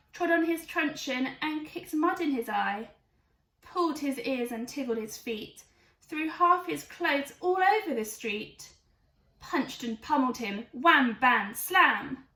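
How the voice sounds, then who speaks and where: A woman's voice: sits high, sharp tone, recorded from a distance. A woman reading a rhyming children's storybook aloud in a theatrical, emphatic way. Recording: echoey room, away from mic.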